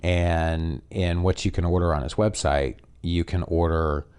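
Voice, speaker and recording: A very low-pitched man from Canada with a nasal tone talks on a podcast mic. He co-hosts in a relaxed, easygoing way, with plenty of ums and uhs. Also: smooth. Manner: relaxed, unhurried, many fillers. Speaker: podcast co-host. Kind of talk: casual podcast chat. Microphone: podcast microphone.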